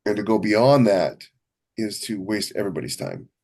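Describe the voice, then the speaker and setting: A man's voice: on the low side, lively intonation, normal speed, a little mellow. A man co-hosting a writing podcast as a novelist, chatting casually and emphatically, often rephrasing himself as he goes. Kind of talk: casual chat about writing craft.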